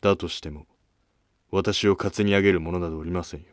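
A man plays a dignified prince of an old imperial court in a historical cartoon drama. The voice is deep and closely dry, the manner formal, guarded and a little curt. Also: steady tone, fast, no room sound, lightly acted. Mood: guarded, stern. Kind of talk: scripted historical drama dialogue.

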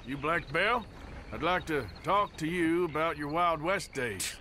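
An actor playing a gruff, laconic Wild West gunslinger in a video game, with an American drawl. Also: male voice, on the high side, a little slow. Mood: dry, calm. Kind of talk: video game cutscene acting. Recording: game audio with loud background noise.

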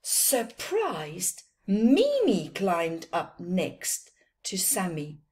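Slow, animated woman reading a storybook. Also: on the low side, breathy edge. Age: middle-aged. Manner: expressive, slow deliberate storytelling with dramatic emphasis. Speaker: children's storytime reader. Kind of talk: animated picture-book reading for children. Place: small plain room indoors.

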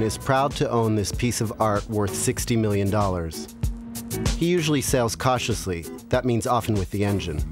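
Guy narrating a documentary, lowish voice, music clearly playing behind him. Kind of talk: scripted narration. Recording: music bed under voice.

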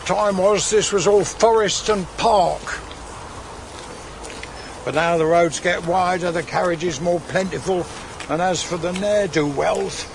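A man's voice: high-pitched, a little slow, creaky, slight background noise. An actor playing a folksy, teasing old-time countryman in a radio drama, with archaic turns of phrase. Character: cagey, chatty old-time English countryman ghost, gruffly folksy.

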